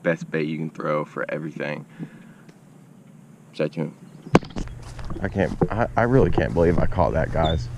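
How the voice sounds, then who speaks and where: Excitable young man, deep voice. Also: moderate pace, creaky, pauses often, very noisy. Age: young adult. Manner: excitable, slangy, addresses viewers as y'all. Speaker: fishing vlogger. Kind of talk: casual self-filmed narration. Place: outdoors beside a suburban pond. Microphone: action camera on chest and at arm's length.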